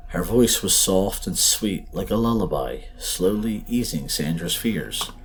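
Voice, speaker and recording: A man's voice: low voice, expressive, a little slow, a little hiss or hum, low-quality recording. A man reading a scripted horror story as narrator, in a dramatic, suspenseful, emphatic way.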